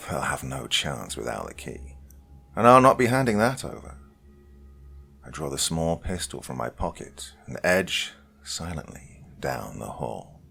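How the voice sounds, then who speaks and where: A man reading a first-person horror story dramatically, swinging between loud lines and whispers. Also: a bass voice, very animated, measured pace, vocal fry, breathy edge. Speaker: story reader.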